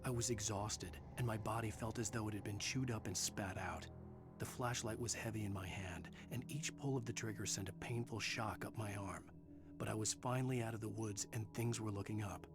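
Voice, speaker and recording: An actor playing a weary, haunted writer in a thriller video game, narrating his ordeal in a grave, measured, brooding way. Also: lowish voice, steady tone, natural voice. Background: music.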